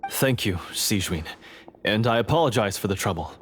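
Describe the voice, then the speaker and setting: A man's voice: fairly low pitch, animated, slight background noise, natural voice. A man voicing a blunt prison guard. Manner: brisk, blunt, polite to superiors. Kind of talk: game voice acting.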